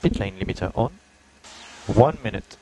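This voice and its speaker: A man's voice: on the low side, lively intonation, moderate pace, gravelly, a crowd in the background, low-quality recording. A man race engineer calling out a countdown in short phrases over team radio.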